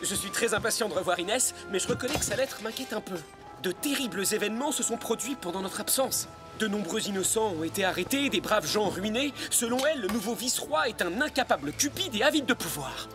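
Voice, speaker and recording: A spirited young nobleman hero from a cartoon. He speaks in a high, animated voice with polished theatrical flair, over background music. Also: a little fast. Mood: concerned, reflective. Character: spirited, gallant young nobleman hero, eager and warm-hearted. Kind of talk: French cartoon dubbing. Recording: music under the voice.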